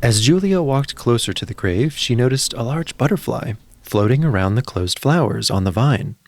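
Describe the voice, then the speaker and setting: A man narrating a scripted story as a podcast storyteller, in a gentle storybook way with deliberate phrasing. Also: mid-range voice, lively intonation. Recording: music behind the voice. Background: music.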